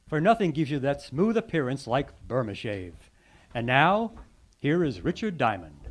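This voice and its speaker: A man's voice: medium pitch, big pitch swings, moderate pace. Old-time radio announcer man.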